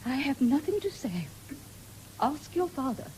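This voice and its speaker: Posh gushy middle aged mother, very lively pitch, patriotic, old radio play with faint hiss. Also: unhurried, poor audio. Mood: shocked, stiff. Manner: effusive, pious, refined. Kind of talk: radio drama acting. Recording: 1970s radio broadcast with background noise.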